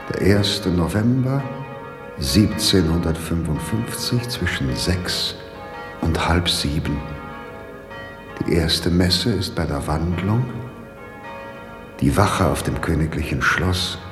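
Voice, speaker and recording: An actor playing a brooding man who talks to himself at dawn in a slow radio drama monologue. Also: low-pitched. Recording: reverberant echoey space with church bells. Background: church bells.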